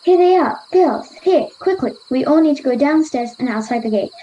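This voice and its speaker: A woman's voice: high-pitched, lively intonation, moderate pace, dark tone, dull audio, a little hiss or hum. An Australian girl of ten or eleven playing a plucky, defiant girl from the past in an amateur radio play. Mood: urgent. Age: child, 10 to 11. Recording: home video-call recording.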